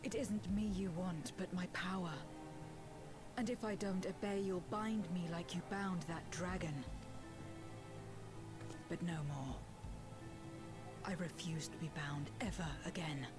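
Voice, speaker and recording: A woman playing a proud, defiant female fighter in a video game, speaking in a firm, measured and dramatic way. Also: lowish voice, lightly acted. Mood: defiant, resolute. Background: game music.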